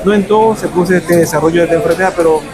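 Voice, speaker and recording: A man from Ecuador explains his research in a calm, measured way, with some fillers. His voice sits a little high for a man. Café chatter carries clearly behind him. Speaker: researcher, guest. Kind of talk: explanatory interview answers. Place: café during a power cut.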